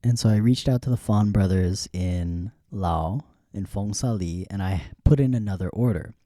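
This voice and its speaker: A young man with a lowish, mellow voice, telling a story at an easy, rambling pace. He laughs now and then between thoughts. The sound is close and dry, with no room around it. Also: normal speed, dry recording. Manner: relaxed, rambling, laughs often. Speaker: podcast host. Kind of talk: casual storytelling.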